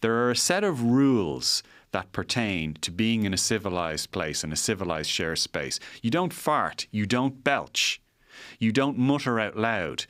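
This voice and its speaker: A man's voice: lowish voice, big pitch swings, a little slow, a bit bright, no echo, clean studio sound. A middle-aged Irish man hosting a podcast, arguing his opinions emphatically with an exasperated edge and repeating points for effect. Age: middle-aged, forties or fifties. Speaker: podcast host.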